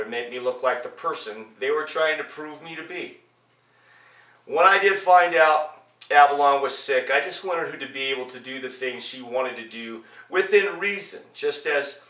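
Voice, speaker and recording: A man reading his own personal memoir aloud as its author, in a halting way with repeated phrases. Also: mid-range voice, sharp tone. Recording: echoey room, distant from mic.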